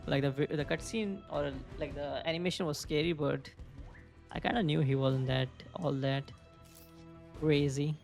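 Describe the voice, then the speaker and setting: A man's voice: fairly high pitch, fairly even intonation, moderate pace, creaky, a little mellow, soft background music. A young man casually commenting on a video game, thinking aloud and rambling with lots of filler words. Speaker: gaming video creator. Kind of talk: casual let's play commentary.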